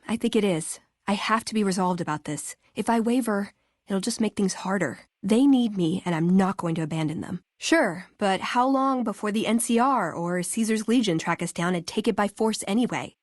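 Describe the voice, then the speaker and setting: A woman acts as a young American woman scribe in a video game. She is earnest and conversational, with a dry wit. Her voice sits at a middle pitch, recorded very dry with no room sound. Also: no echo, lightly acted. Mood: resolved, sober. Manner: conversational, dryly wry. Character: wry, earnest young woman scribe of a tech-hoarding order. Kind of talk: video game character dialogue.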